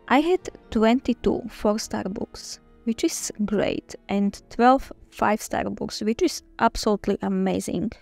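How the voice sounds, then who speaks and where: Give me a woman chatting slowly and eagerly, with a gravelly edge to her voice. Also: a little high, darkish tone. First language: Slovak. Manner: chatty, enthusiastic, with small restarts. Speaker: book vlogger. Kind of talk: casual reading-journal flip-through.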